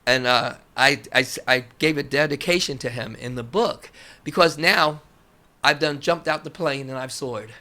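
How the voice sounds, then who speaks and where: A man speaking as an interview guest, telling stories casually and haltingly, repeating phrases as he goes. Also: mid-range voice, bright, forceful tone. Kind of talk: casual conversational storytelling.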